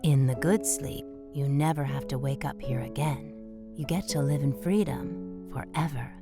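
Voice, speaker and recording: A woman's voice: very deep voice, moderate pace, over music. A woman voicing a tender, patient mother mouse in an audio drama, soothing and wistfully devout.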